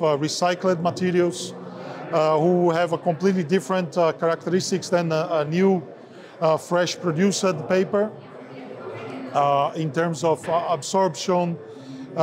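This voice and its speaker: A man in his forties speaking haltingly with many fillers, interviewed at a trade fair on a lapel mic. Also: a little high. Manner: halting, many filler sounds, slightly non-native phrasing. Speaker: sales head of an ink and coatings firm. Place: busy trade fair hall, indoors. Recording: crowd noise of a trade fair. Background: crowd chatter.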